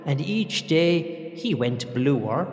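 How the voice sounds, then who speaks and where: Blustery manservant in an echoing hall. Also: male voice, on the high side, expressive, very slow, a little mellow. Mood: marvelling, uneasy. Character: loyal, blustery manservant to an icy queen. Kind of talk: audio drama acting. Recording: echoey hall, long reverb, faint music.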